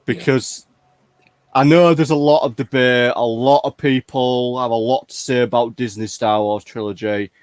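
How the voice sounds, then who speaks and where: A man's voice: medium pitch, steady tone, normal speed, no room sound. A man hosting a fan community chat in a casual, conversational way.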